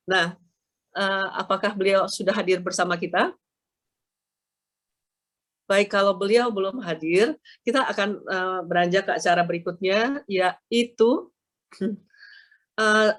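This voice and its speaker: A woman with a stuffy nose from a bad flu, moderating an event and introducing speakers formally. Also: mid-range voice. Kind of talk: formal emcee announcements.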